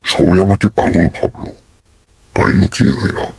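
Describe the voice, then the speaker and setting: A frightened, lonely hoaxer in a cartoon drama, acted by a man. His voice is low, creaky and badly husky, recorded close and dry. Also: normal speed, darkish tone, no echo, exaggerated voice. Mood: confessing. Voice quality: gravelly, raspy, breathy. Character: frightened lonely former film soundman, caught hoaxer.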